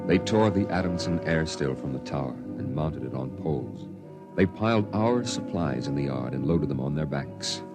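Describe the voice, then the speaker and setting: A man narrating in a measured, somber way as the grown boy recalls the story, in 1950s radio drama style, from an old broadcast with music beneath. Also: extremely low pitch, animated.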